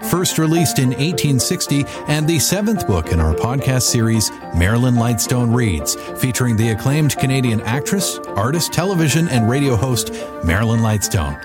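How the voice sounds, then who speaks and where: A man's voice: medium pitch, very animated, normal speed. A man reading a scripted promotional introduction as a podcast announcer. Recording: loud music under the voice. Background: music.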